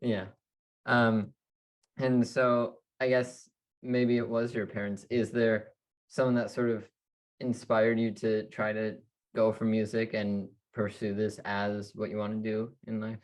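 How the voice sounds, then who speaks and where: Flat-voiced young man hosting an interview. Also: on the low side, measured pace, poor audio. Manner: relaxed, filler-heavy questions. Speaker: interview host. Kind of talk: casual interview chat.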